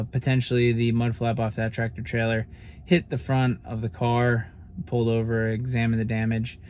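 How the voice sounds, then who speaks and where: Young man talking flatly in a car. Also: mid-range voice, a little hiss or hum. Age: young adult. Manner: flat, matter-of-fact explaining. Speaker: vlog host. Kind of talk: casual vlog talk. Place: inside a parked car. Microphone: phone camera at arm's length.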